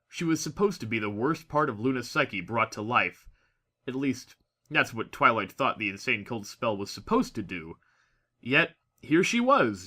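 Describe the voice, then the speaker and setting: Guy doing audiobook narration, really bright forceful voice, medium pitch, expressive storytelling. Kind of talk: scripted dramatic story narration.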